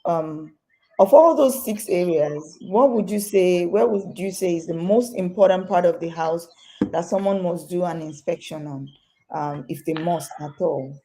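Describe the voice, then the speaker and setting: A woman with a lowish, mellow voice hosts an interview and turns to address her viewers directly. Her questions wander a little, with frequent "um" fillers. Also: measured pace, poor audio. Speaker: real estate host. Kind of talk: interview hosting.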